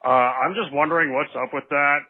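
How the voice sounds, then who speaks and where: A man leaving a casual, halting question on a voicemail. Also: fairly high pitch, fairly even intonation. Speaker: voicemail caller. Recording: tinny phone voicemail.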